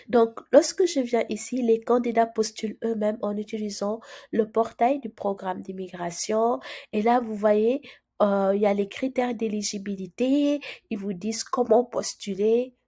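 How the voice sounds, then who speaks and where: A woman walks viewers through a website in a relaxed, chatty way, pausing often and restarting her sentences. She has a cold and keeps her voice low, so it comes out husky. Her tone is mellow and dark rather than bright. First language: French. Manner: chatty, hesitant with frequent fillers and restarts. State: has a cold, speaking softly. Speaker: immigration channel host. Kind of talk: screen-share tutorial, casual explaining.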